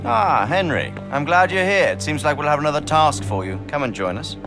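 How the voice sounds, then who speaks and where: An actor playing a steady, fatherly and commanding medieval lord in a dramatic game cutscene. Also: fairly high pitch, over music. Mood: welcoming. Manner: measured, authoritative, encouraging.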